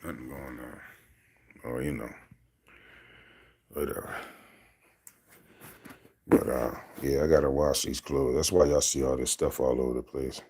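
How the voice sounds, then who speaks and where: A man in his thirties with a deep voice, telling loose, rambling stories to his camera as a casual vlog and laughing often. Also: fairly even intonation, unhurried, long pauses, dark tone. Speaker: vlogger.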